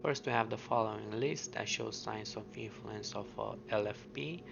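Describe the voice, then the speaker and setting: A man's voice: lowish voice, normal speed. A man narrating an explanatory video commentary, mostly scripted with casual asides, on a muffled, noisy lo-fi recording. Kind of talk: explanatory commentary on a horror web series. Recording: muffled lo-fi audio with clear background noise.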